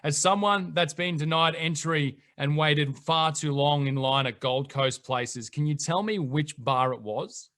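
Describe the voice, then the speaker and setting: A man's voice: on the high side, unhurried, hardly any pauses, a bit bright. A man interviewing a band, asking questions in an upbeat, friendly way. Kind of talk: music interview.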